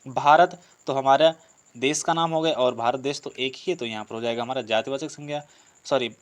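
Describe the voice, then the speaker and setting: A man's voice: medium pitch, brisk. A man teaching a grammar lesson, explaining step by step with repetition, listed examples and rhetorical questions. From India. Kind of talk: online exam-prep grammar lesson.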